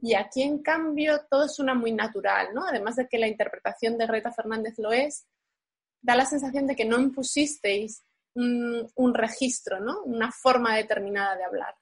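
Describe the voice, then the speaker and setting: A woman's voice: fairly high pitch, moderate pace, breathy edge. A woman hosting a film programme, narrating and putting questions to guests. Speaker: programme host and interviewer. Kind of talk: scripted narration and interview questions.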